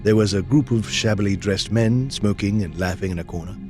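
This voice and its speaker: An earnest gentleman companion, acted by a man, telling a detective story in an audio drama. The voice is on the low side and measured, loyal in tone, with soft music underneath. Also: natural voice. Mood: reflective, measured. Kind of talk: audio drama narration and dialogue.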